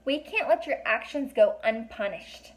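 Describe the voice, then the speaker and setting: A woman's voice: a little high, expressive, a little slow, rough recording. A woman acts out a fairy council member in a children's tale. The delivery is formal and severe, that of someone stern who expects to be obeyed. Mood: grave.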